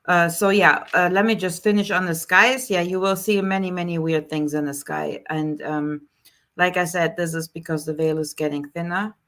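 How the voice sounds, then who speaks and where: A woman from Germany with a German accent, hosting a casual live question-and-answer stream, greeting viewers by name and talking in an unhurried way with many fillers. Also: on the low side, steady tone, fairly bright tone. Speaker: live stream host, spiritual healer. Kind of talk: casual live Q&A, reading chat comments.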